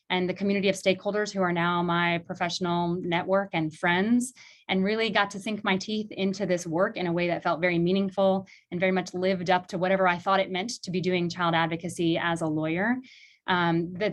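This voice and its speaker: A woman's voice: on the low side, a bit bright. A woman from Illinois who directs a law clinic, speaking earnestly and reflectively on a video call, with many fillers. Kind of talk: virtual panel talk.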